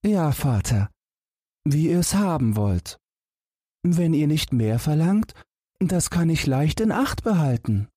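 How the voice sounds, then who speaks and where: Man voicing a high-pitched, naive fairy-tale boy. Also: sing-song, slow pace, warm tone, husky, no echo, studio quality, heavily acted. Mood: willing, carefree. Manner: guileless, matter-of-fact, earnest. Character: naive, carefree boy who wants to learn to shudder.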